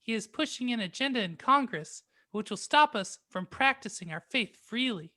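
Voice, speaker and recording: A woman's voice: fairly high pitch, animated, moderate pace, pushed and bright. An earnest, worried young schoolgirl, read by a woman in a homemade fan fiction audiobook. She sounds sincere and anxious throughout. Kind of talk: amateur fan fiction reading.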